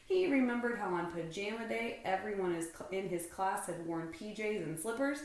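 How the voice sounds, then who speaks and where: A woman's voice: mid-range voice, moderate pace. A woman reading a children's storybook aloud expressively, like a teacher to pupils. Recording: roomy indoor echo.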